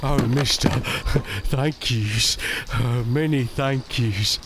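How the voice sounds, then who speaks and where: A man playing a timid, childlike, simple-minded homeless young man in an audio drama, speaking haltingly and simply. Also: mid-range voice, very noisy. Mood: grateful.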